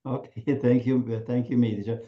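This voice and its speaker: A man's voice: medium pitch, steady tone, brisk. A man hosting an online meeting over a video call. He is polite and hesitant, and he fills his speech with "uh". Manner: polite, hesitant, with many fillers. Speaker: meeting host. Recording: muffled video call audio.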